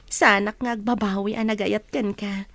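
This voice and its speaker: A woman playing a soft-spoken, loving fiancee in a radio drama. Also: medium pitch, steady tone, normal speed, creaky, no room sound. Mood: tender.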